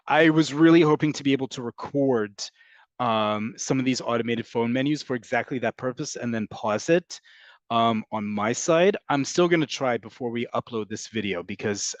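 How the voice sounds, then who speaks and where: A man's voice: mid-range voice, no room sound. A man teaching Hebrew in an online class, patiently explaining and answering students' questions. English is his first language. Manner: patient, explanatory, repeats and rephrases.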